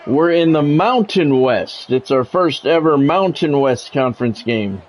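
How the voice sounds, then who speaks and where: A man's voice: a little high, lively intonation, measured pace. A man livestreaming a video game, giving casual commentary and chatting with viewers in a repetitive, explanatory way.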